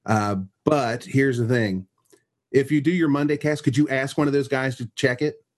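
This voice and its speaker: A man hosting a casual podcast, talking loosely with lots of restarts. His voice is middling in pitch and a little husky. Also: moderate pace. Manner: rambling, friendly, with restarts. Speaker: podcast host. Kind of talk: casual chat.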